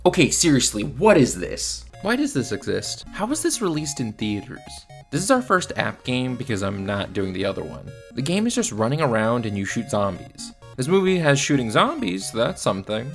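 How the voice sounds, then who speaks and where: A man's voice: mid-range voice, big pitch swings, normal speed, creaky, few pauses, soft background music. A man giving scripted film review commentary in a blunt, sardonic manner. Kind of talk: scripted video essay commentary.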